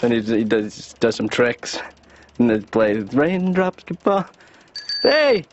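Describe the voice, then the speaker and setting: Goofy adult son, cartoon, casual rambler, lively pitch swings, muffled noisy audio. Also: male voice, slow. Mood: playful, show-off. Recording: dull, noisy lo-fi cartoon soundtrack.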